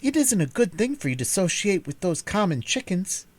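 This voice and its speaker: A man's voice: high voice, huge pitch swings, fairly quick, no room sound, voice pushed up, heavily acted. A man voicing an earnest, prim little girl in a storybook reading, apt to scold. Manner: reproving, earnest.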